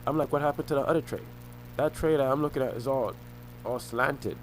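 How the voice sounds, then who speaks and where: A young man talking to the camera in a rambling monologue, often halting, repeating himself and restarting phrases. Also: medium pitch, slight background noise, poor audio. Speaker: vlogger.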